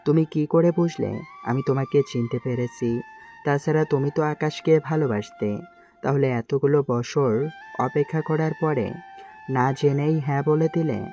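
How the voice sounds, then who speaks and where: A woman voicing a young man, a disguised prime minister, speaking softly and earnestly in an amateur audio drama. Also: deep voice, moderate pace, close to an everyday voice. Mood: tender. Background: faint background music.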